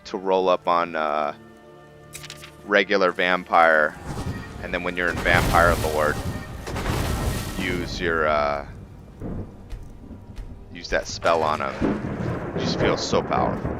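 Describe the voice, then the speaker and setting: A man with a low voice gives casual gameplay commentary at an unhurried, slow pace. He thinks out loud, halting and restarting as he goes. Music plays clearly behind his voice. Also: fairly even intonation. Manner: halting, thinking aloud, trailing off mid-phrase. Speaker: gaming host narrating a playthrough. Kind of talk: casual let's-play commentary. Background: game music behind the voice.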